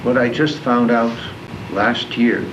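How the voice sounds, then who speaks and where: A man in his seventies from the Netherlands with a Dutch accent, speaking to a school class about his memories in a plain, measured storytelling manner. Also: medium pitch, fairly even intonation. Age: 70s. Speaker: guest speaker. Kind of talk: recounting wartime memories to students. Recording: distant, dull lo-fi tape with clear noise.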